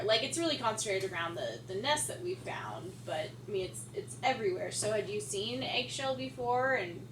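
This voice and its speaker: A woman's voice: mid-range voice, fairly bright tone. A woman interviewing in casual conversation, chatty and engaged. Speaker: interviewer and fellow fossil digger. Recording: distant mic, echoey room, some noise.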